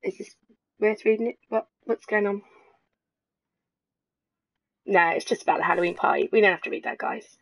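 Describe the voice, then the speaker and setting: A Welsh woman with an accent softened by London, giving chatty, jokey gameplay commentary and swearing now and then. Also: medium pitch, moderate pace, vocal fry, pauses often, low-quality recording. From Wales. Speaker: let's play streamer.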